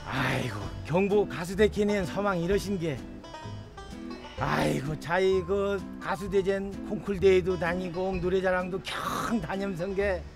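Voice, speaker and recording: An actor playing a sighing middle-aged villager with a Jeju accent. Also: male voice, high voice, measured pace. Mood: lamenting. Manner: sighing, lamenting. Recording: background music under dialogue.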